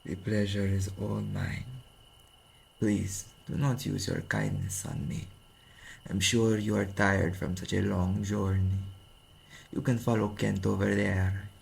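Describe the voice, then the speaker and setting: An actor playing an ancient, courteous vampire king in an audio drama, speaking formally and solemnly with a gravelly voice. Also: fairly low pitch, little pitch movement, a little hiss or hum, heavily acted. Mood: courtly welcome. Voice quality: gravelly, creaky, breathy at times. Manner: formal, stately, unhurried. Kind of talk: narrative audio roleplay acting.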